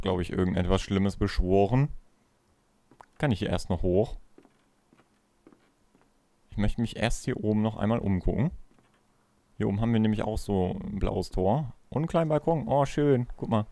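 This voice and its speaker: A man's voice: fairly low pitch, a little slow, long pauses, dark tone, no room sound. A man giving casual let's play commentary, musing aloud in a playful way. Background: game music.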